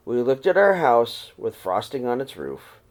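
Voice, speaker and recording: A man reading a picture book aloud to young children in an expressive storytelling style. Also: medium pitch, a little slow.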